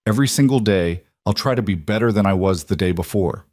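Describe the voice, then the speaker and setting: A man voicing an earnest, remorseful yet controlling mafia boss husband in an audiobook, measured and insistent. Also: lowish voice, darkish tone, no room sound, clean studio sound, lightly acted. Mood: remorseful, pleading.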